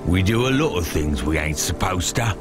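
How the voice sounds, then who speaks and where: A man's voice: sits low, lively intonation, normal speed. A man voicing a brash but good-hearted android gang member in a video game, talking in laid-back street slang. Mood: laid-back, proud. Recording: music behind the voice.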